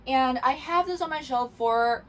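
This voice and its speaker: A woman's voice: sits high, normal speed, a bit bright. A young woman whose first language is Cantonese, chatting casually to camera from her bedroom, with lots of fillers and restarts. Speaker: booktuber. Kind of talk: casual bookish chat to camera. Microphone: camera mic.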